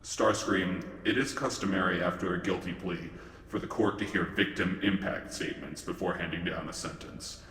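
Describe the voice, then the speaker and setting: Man as a deep-voiced robot judge in echoing hall. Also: steady tone, fairly bright tone. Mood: stern, formal. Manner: formal, measured, authoritative. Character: stern, formal robot judge presiding over a trial. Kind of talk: dramatic reading of a courtroom scene. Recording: very echoey hall, faint noise.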